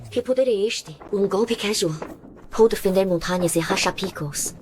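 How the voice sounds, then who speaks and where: A woman's voice: mid-range voice, normal speed, a little mellow, slight background noise, lightly acted. A woman voicing a wary loyal retainer. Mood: astonished. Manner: earnest, warning. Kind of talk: dubbed motion comic acting.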